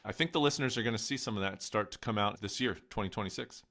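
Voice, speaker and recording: A man's voice: on the low side, fast, a bit bright. A man co-hosting a tech podcast, upbeat and friendly as he introduces and questions a guest. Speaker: podcast co-host.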